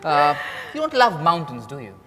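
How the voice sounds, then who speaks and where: A man's voice: fairly high pitch, huge pitch swings, moderate pace, bright, forceful tone, faint music behind, full character voice. Man playing a composed writer, echoey hall. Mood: flirtatious, courteous. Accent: Indian. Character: composed male writer, Mriganko, courteous and bluntly critical. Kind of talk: dramatized stage reading of a play. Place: large hotel ballroom stage. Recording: echoey hall, stage mic from afar.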